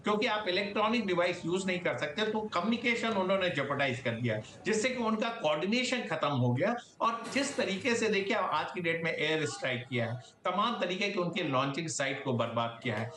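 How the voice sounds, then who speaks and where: A male defence analyst speaks in a high, bright voice. He explains points in news panel style, dropping in English military terms. The recording has a roomy echo. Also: expressive, a little fast, hardly any pauses. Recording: roomy echo, faint background noise.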